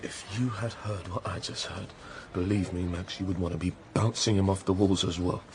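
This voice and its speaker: An actor playing a blunt, impatient, hot-headed British detective constable with an English accent, in a naturalistic radio drama. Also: male voice, deep voice, steady tone, normal speed, very noisy. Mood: irritated, scornful.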